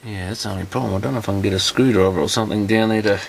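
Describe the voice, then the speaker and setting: Man, low voice with plenty of fry, casual workbench commentary as a repair hobbyist, noisy recording with clicks. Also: steady tone, very fast. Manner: muttering, thinking aloud while working. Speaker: electronics repair hobbyist presenting a teardown. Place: repair workshop. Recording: clear background noise with clicks.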